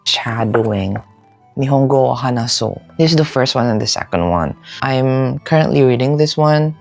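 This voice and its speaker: A young man chats loosely to his camera in a casual vlog, dropping "like" constantly and swearing offhand. His voice sits at an ordinary middle pitch, with faint music playing softly behind him. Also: lively intonation, moderate pace, gravelly. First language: Filipino. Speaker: vlogger. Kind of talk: casual book-haul vlog.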